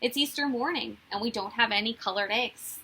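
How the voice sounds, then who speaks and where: A woman's voice: on the high side, brisk, bright, forceful tone, slightly rough, heavily acted, rough recording. A woman voicing a blunt, good-hearted, exasperated tomboy girl in a children's storybook, plain-spoken in manner. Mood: worried, downcast. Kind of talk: children's storybook read-aloud.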